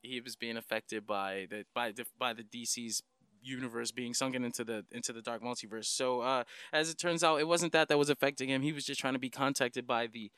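A man's voice: medium pitch, brisk, a bit bright, smooth, no room sound. A man co-hosting a podcast, talking casually and slangily like an enthusiastic fan recapping comics. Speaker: podcast co-host.

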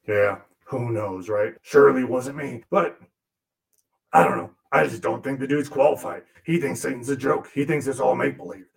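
A man's voice: mid-range voice, normal speed, gravelly, natural voice. A man voicing a crude, sarcastic male demon puppet who grumbles and swears through a comic monologue.

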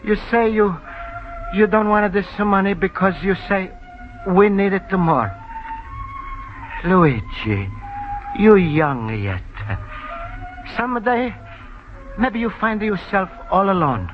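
Figure: a meek Italian immigrant shopkeeper. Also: male voice, sits high, expressive, slow pace, pauses often, soft background music. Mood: tender. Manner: pleading, timid, broken English. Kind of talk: 1940s radio comedy acting. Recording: dull old radio broadcast with faint hiss.

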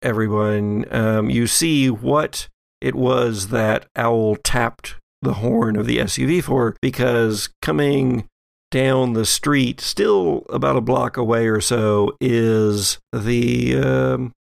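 A man's voice: mid-range voice, takes it slowly, creaky, no room sound. A man narrating a tabletop role-playing game as game master, improvising as he goes.

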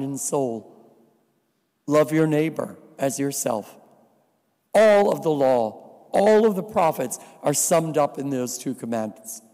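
A man from New Jersey preaching a sermon as a visiting priest, slow and deliberate with dry asides. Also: a little high, dark tone. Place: church. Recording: reverberant church hall.

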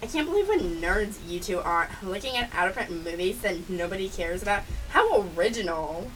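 A woman's voice: medium pitch, expressive, normal speed, a bit bright, lightly acted. Woman playing a bossy, scornful cat, recorded distantly. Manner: sarcastic, insulting, superior. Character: bossy, scornful young female cat scheming world domination. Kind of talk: amateur scripted audio comedy acting. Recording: distant mic, faint background hiss.

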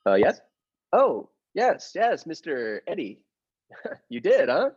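A man's voice: fairly high pitch, huge pitch swings, slow, darkish tone, husky, dull audio, full character voice. A man playing a meek, nervous henpecked husband who narrates his scheme in a radio play, hesitant, earnest and formal. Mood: pleased, hesitant. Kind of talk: improvised-cast classic radio play narration.

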